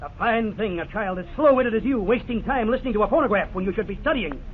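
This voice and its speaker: A man's voice: high-pitched, expressive, quick pace. An actor playing a harsh, domineering middle-aged father who barks scornful threats, in 1950s radio acting style. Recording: tinny old radio broadcast, faint hiss.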